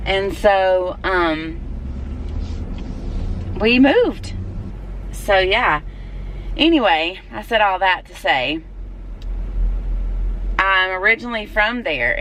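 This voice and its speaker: A woman's voice: lowish voice, fairly bright tone, slight background noise, rough recording. Chatty Southern woman vlogging from a car. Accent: Southern US (Alabama). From Alabama. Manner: rambling and chatty, many fillers. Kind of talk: casual chat to camera.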